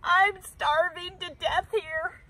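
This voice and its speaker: A woman's voice: very high voice, fairly even intonation, slow pace, pushed and bright, pitched above the performer's own voice, heavily acted, rough recording. A woman voicing a picky, hungry storybook bird, complaining in a whiny, theatrical way. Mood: pleading, whiny.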